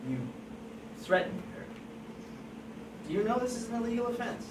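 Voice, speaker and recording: A performer at an amateur table read playing an agitated police officer who presses suspects with halting, repeated questions. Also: mid-range voice, natural voice. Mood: probing, stern. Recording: distant, echoey room, noisy.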